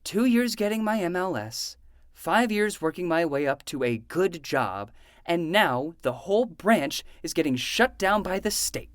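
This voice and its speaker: Casting: a woman as a harried, sarcastic librarian man for audio drama, swinging pitch, close dry sound. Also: lively intonation, measured pace, no echo. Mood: bitter, sarcastic. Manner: exasperated, sarcastic, ranting. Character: exasperated, sarcastic male librarian, grown adult. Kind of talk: fiction podcast acting.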